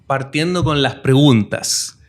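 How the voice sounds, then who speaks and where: A man's voice: mid-range voice, slow pace, background noise. A Chilean man hosting a podcast, interviewing in Spanish. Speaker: podcast host.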